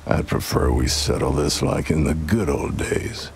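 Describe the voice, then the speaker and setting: An actor playing a defiant, sardonic old-school lawman in a western video game, with a dry, gravelly delivery. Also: rumbling low, animated, unhurried, a little mellow, a little hiss or hum, exaggerated voice. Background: music.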